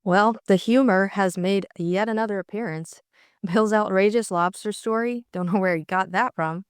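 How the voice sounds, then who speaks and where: A woman's voice: mid-range voice, moderate pace, smooth, dry recording, studio quality. A woman who narrates and discusses a classic mystery novel as an audiobook co-host. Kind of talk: scripted fiction narration and book discussion.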